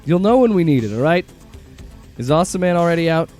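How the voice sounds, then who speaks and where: Casting: blunt agency director, fairly high male voice, exasperated and conversational, audio drama with music behind. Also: big pitch swings, fast talker, darkish tone. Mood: irritated, blunt.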